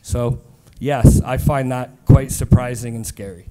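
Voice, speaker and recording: A man with a mellow, mid-pitched voice speaks on a conference panel. He argues his point with steady conviction. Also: measured pace. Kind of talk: panel discussion. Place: conference stage.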